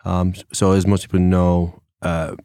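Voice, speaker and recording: Chatty Englishman with a deep voice. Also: little pitch movement, normal speed, mellow, clean tone, no echo, clean studio sound. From England. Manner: chatty and digressive, many fillers and restarts. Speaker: podcast guest, former police officer. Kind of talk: casual conversation. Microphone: studio microphone.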